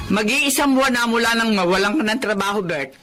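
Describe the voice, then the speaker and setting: A woman's voice: on the high side, lively intonation, a little fast, over music, lightly acted. An actress playing a nagging, indignant older mother-in-law who scolds in Filipino radio drama style. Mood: scolding.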